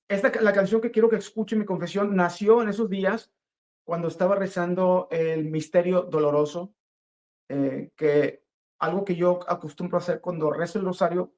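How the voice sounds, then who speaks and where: Middle-aged man giving earnest halting testimony. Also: sits high, a little mellow. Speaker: guest giving a religious testimony. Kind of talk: personal testimony, earnest spontaneous talk.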